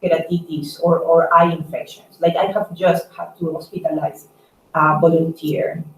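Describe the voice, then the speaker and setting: A woman doctor giving advice on a conference call, talking haltingly with "like" and "right?" dropped in often. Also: low voice, flat delivery. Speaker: staff doctor. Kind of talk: advisory conference call talk. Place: echoey room on a conference call. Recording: roomy, distant mic, noisy conference call.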